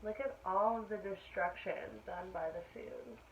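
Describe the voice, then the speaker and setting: Female children's story reader, mid-pitched, simple explanatory asides to kids, muffled low-fidelity recording with slight noise. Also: measured pace, gravelly. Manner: explains simply to young listeners. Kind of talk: read-aloud picture book narration with asides to children. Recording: dull lo-fi sound with faint noise.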